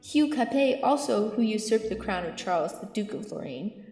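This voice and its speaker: A woman's voice: mid-range voice, lively intonation, normal speed, darkish tone, natural voice. A woman acting a learned, earnest archbishop in an amateur Shakespeare audio drama, with formal, declamatory delivery. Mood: earnest, lecturing. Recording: echoey hall, music behind.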